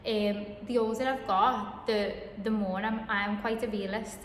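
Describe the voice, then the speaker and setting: A woman's voice: mid-range voice, steady tone, a little slow, reverberant, in a large hall, a little hiss or hum. A woman tells a personal story to camera, chatting with many ums and restarts. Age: 20s. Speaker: vlogger.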